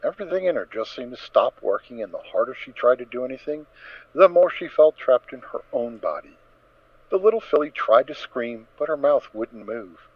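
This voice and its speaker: A man narrating a scripted story dramatically, with measured, emphatic phrasing. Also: medium pitch, no room sound. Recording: dull, dry lo-fi recording.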